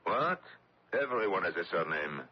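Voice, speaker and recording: Deep, husky, menacing interrogator. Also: male voice, sing-song, normal speed, heavily acted. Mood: curt, commanding. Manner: commanding, sneering. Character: menacing, sarcastic French collaborationist officer interrogating prisoners. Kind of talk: radio drama acting. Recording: dull lo-fi old radio recording.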